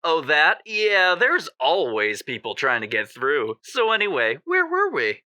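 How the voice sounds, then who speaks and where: An actor playing a mock-friendly radio co-host with a showy presenter's delivery, teasing and quietly needling. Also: medium pitch, huge pitch swings, a little slow, dry recording, studio quality, full character voice. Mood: breezy. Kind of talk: audio drama in radio show style.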